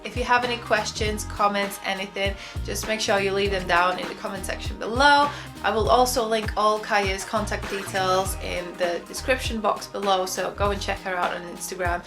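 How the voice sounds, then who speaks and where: A woman's voice: medium pitch, steady tone, normal speed. Upbeat woman host over music. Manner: upbeat, warm asides, giggly. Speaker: host, interior designer. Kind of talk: YouTube channel intro and interview.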